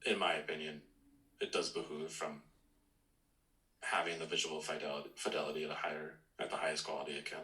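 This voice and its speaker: A man's voice: extremely low pitch, little pitch movement, a little fast, pushed and bright. A man in casual discussion of video games as a podcast co-host, phrasing his points with care. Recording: roomy echo.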